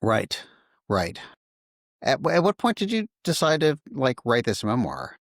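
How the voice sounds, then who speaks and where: A man's voice: mid-range voice, wildly swooping pitch, a little slow, no room sound, clean studio sound. A man interviewing a guest conversationally, phrasing his questions in a thoughtful, tentative way. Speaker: interviewer, host.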